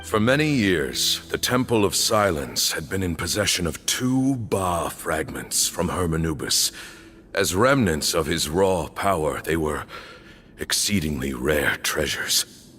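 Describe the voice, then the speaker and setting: An actor playing a stern, accusing old temple leader in a video game, with imperious, deliberate, theatrical delivery. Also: male voice, low-pitched, expressive, a bit bright, husky, soft background music, full character voice. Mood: solemn. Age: older. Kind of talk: dramatic video game voice acting.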